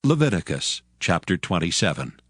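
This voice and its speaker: A man narrating scripture in a measured, formal way. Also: deep voice, very animated, husky. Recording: music bed underneath. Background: soft background music.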